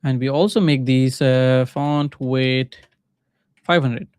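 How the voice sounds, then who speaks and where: A man with a Pakistani accent and native Urdu talks casually through live coding. His voice is smooth and clear at an ordinary pitch, recorded close and dry. He thinks aloud in short, halting phrases, like a streamer chatting as he works. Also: steady tone, darkish tone, no room sound. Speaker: livestreaming coder and mentor.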